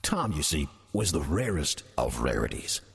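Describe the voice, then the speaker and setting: An actor playing a sly, ancient demon posing as an old church usher, wry and sardonic, with an American accent, in an audio drama. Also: male voice, extremely low pitch, big pitch swings, vocal fry, a bit bright, breathy edge, exaggerated voice. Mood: wry confiding. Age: older. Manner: wry, sardonic, deliberate storytelling. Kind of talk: audio drama first-person narration.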